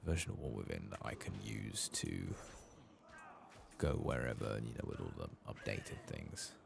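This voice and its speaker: Very deep, gravelly, soft-spoken gaming man. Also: very animated, background noise. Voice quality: soft, hushed, breathy at times. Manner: unhurried, musing, murmuring to himself while playing. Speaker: gaming video creator. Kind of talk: soft-spoken ASMR game playthrough commentary.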